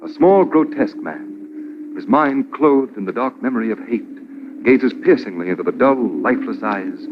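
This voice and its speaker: A man's voice: medium pitch, expressive, normal speed. A man narrating an old-time radio drama as its announcer, in dramatic scripted style. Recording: dull, slightly noisy old radio recording.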